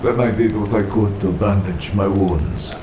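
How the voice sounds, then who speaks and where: A man playing a proud, brooding supervillain, narrating his origin in a grave, haughty, measured way. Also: on the low side, noisy background, natural voice. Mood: proud, grim. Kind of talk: scripted dramatic first-person narration. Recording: loud music under the narration.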